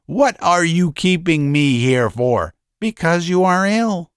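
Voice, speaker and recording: A man voicing a gentle, guilty middle-aged doctor who speaks softly and stammers. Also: fairly high pitch, animated, slow, clear, resonant tone, no room sound, clean studio sound. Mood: soothing. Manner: soft-spoken, stammering.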